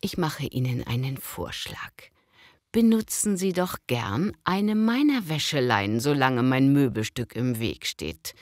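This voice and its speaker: A woman's voice: a bass voice, animated, measured pace, no room sound, studio quality. A woman playing a polite but unbudging neighbour explaining herself in a scripted lesson dialogue. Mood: conciliatory.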